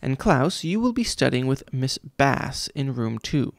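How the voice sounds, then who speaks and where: A man's voice: medium pitch, sing-song, unhurried, gravelly, dry recording, studio quality. A man voicing a pompous, condescending vice principal who thinks himself a genius, read for a children's storybook. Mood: pompous, scolding. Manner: condescending, mocking. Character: pompous, cruel male school vice principal, self-declared genius.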